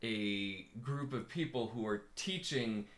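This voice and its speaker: A man's voice: medium pitch, lively intonation, slow, a bit bright. A man in his thirties co-hosting a casual live talk, thoughtful and hesitant, with frequent fillers and restarts. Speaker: co-host and coach. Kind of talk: casual conversation.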